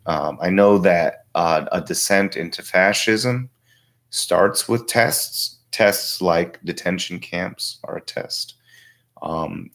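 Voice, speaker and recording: Guy with a low tired voice losing it a bit, halting reflective politics talk, streaming from his home workshop. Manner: halting, reflective, thinking aloud. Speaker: livestream host. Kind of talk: casual political commentary. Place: small home workshop, indoors.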